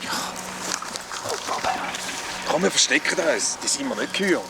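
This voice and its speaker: A man's voice: a little high, expressive, a little slow. A man playing a cautious traveler who speaks Swiss German in a muttering way. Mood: wary. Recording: distant voice with outdoor noise.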